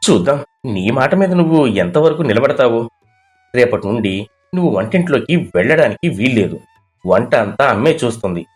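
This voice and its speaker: A man's voice: mid-range voice, sing-song, normal speed, soft background music, lightly acted. A man playing a stern, aggrieved young husband in a story, speaking with reproach.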